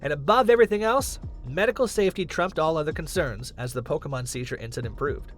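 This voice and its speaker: A man's voice: on the high side, fast talker, soft background music. A man delivering scripted countdown narration in an explanatory, emphatic way. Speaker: video narrator, list countdown host.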